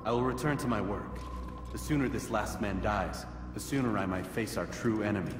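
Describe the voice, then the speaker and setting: An actor playing a solemn, earnest young assassin who speaks in a measured, formal way in a video game cutscene. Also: male voice, on the low side, echoey room, big-room sound, close to an everyday voice. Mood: earnest, resolute.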